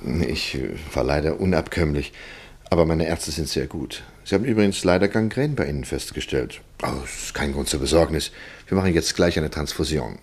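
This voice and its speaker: An actor playing a reassuring but evasive doctor, professional and a little hesitant, in a German radio drama. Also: male voice, rumbling low, animated, brisk, a little mellow, breathy edge, heavily acted. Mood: evasive reassurance. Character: evasive, reassuring male doctor, heir to his father's practice.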